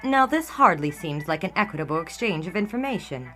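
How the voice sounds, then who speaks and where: An actress playing a coy, guarded, quick-witted 1920s woman with an arch, formal manner in a period drama. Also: fairly low pitch, big pitch swings, a bit bright, soft background music. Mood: coy, evasive. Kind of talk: period radio drama dialogue.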